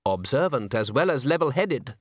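A man voicing Salzella, an urbane director of music who speaks smoothly and sardonically. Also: mid-range voice, very animated, normal speed, no room sound, studio quality. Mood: sardonic.

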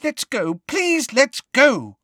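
An earnest, fretful schoolgirl from a fantasy audiobook, voiced by a man. The voice is pitched well up and moves in lively swoops, with a dry, close sound. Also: takes it slowly, no room sound, exaggerated voice. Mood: terrified. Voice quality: high-pitched. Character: anxious, earnest young schoolgirl, easily frightened.

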